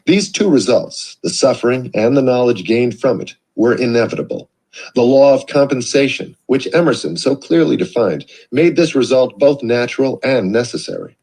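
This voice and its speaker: A man narrates an audiobook with a husky, slightly breathy voice. His reading is measured and earnest. His pitch moves with plenty of life from phrase to phrase. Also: big pitch swings, a little mellow, low-quality recording.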